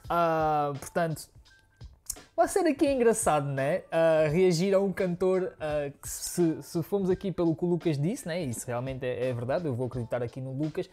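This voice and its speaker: A man's voice: on the high side, animated, moderate pace, a little mellow. A man in his thirties from Angola, raised in Portugal, with a European Portuguese accent, chatting to viewers in a rambling way as a music reaction host. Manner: chatty, rambling, fond of "né, pessoal". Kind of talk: casual chat to viewers. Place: living room, on a sofa, indoors.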